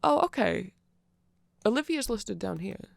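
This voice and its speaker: Rambling man with a very creaky, gravelly voice. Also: sits high, animated, measured pace, dry recording. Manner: rambling, nerdy, self-correcting, checks in with "right?". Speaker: ASMR creator. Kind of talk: rambling ASMR explainer.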